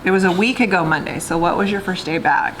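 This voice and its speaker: Female vlogger, lower register, chatty conversational storyteller, noticeably noisy recording. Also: fast talker, fairly bright tone. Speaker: vlog co-host. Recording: clearly noisy background.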